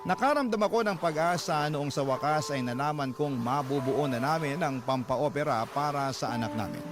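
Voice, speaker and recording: Male narrator, steady storytelling, music bed. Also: fairly high pitch, normal speed. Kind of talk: first-person drama narration.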